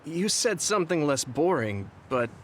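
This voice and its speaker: A man's voice: fairly high pitch, sing-song, normal speed, a little hiss or hum. An actor playing an earnest, questioning young male soldier in a video game cutscene. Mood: puzzled, earnest. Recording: music behind the voice.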